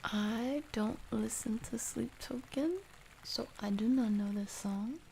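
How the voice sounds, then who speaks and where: Very soft, mellow, slow-talking woman. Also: a little high, gravelly, slight background noise, rough recording. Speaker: ASMR streamer. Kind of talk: soft, casual ASMR stream chat.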